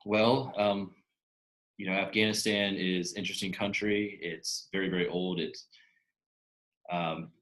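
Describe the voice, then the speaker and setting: Very deep-voiced hesitant man. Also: steady tone, a little slow, pauses often, a bit bright, low-quality recording. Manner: hesitant, repeats words. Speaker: presenter. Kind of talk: casual panel talk.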